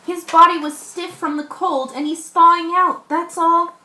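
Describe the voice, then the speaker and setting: Woman as a high-voiced, level-headed sailor, echoey. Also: little pitch movement, measured pace, sharp tone, exaggerated voice. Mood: urgent, firm. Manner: earnest, stumbles over scripted lines. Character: level-headed shipwrecked sailor, commanding and practical. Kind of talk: amateur radio drama acting. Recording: echoey room recording.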